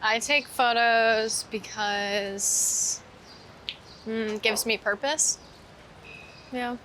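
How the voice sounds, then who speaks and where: A woman's voice: on the high side, fairly even intonation, extremely slow, bright, forceful tone. A woman chatting casually and informally, as a guest on a walking interview, peppering her talk with "like". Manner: informal, heavy use of "like". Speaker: interview guest, street photographer. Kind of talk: casual chat while walking. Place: outdoors on a city street. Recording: clear outdoor street noise with traffic. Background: street ambience and vehicles.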